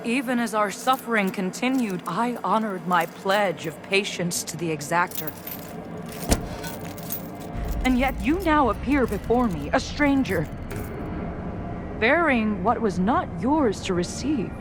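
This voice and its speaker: An actress playing a devout, stern holy warrior in a fantasy game, with formal, fervent, archaic speech. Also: female voice, mid-range voice, expressive, unhurried, over music, close to an everyday voice. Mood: stern, reproachful. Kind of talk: dramatic fantasy game acting.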